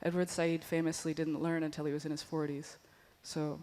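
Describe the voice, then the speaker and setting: Flat-voiced woman answering slow and thoughtful on a panel, kinda soft. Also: deep voice. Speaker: panelist artist. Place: museum panel stage.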